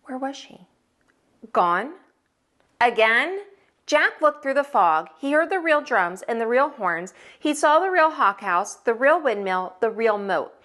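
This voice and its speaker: A woman narrating a children's book as a teacher would, with expressive, dramatic storytelling. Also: a little high, unhurried. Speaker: host, teacher reading aloud.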